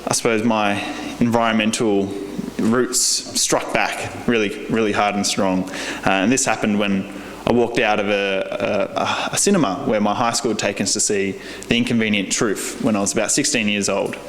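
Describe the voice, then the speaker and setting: A young Australian man speaks with a lowish voice and an earnest, halting delivery full of fillers and restarts. He is giving a keynote in a big convention hall, and the sound rings out with a long, roomy echo. A faint hum of room noise sits underneath. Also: fairly even intonation, a bit bright. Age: young adult. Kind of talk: conference keynote speech.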